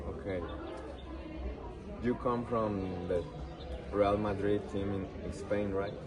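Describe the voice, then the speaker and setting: A man interviewing someone, asking questions in halting, non-native English phrasing. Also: lowish voice, steady tone, music in the background. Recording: distant mic, busy crowd outdoors.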